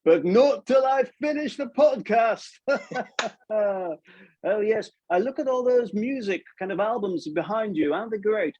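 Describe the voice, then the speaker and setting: A man voicing a jolly, genteel puppet old chap with a drawn-out, chummy delivery. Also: high voice, animated, darkish tone, slightly rough, lo-fi sound, full character voice. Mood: jolly, playful. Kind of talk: live puppet show character voice.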